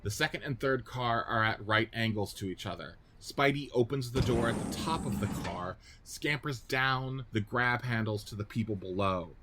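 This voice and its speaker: A man's voice: medium pitch, moderate pace, hardly any pauses, bright, forceful tone, slight background noise. A man reading scripted narration and stage directions for a radio play.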